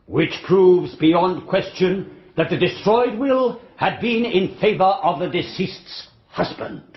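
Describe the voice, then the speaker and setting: An actor playing a formal, long-winded prosecuting barrister in a radio drama, oratorical and pedantic in manner. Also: male voice, high voice, animated. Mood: triumphant. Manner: oratorical, measured, pedantic exposition. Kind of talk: radio courtroom drama acting. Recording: dull, roomy radio drama recording.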